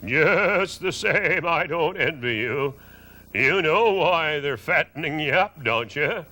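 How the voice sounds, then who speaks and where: A blunt old sheep delivers grim news slowly and flatly, as a woman voices her. She sounds gloomy and unhurried. It is a storybook read-aloud. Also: low-pitched, full character voice. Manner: blunt, slow, bearing bad news.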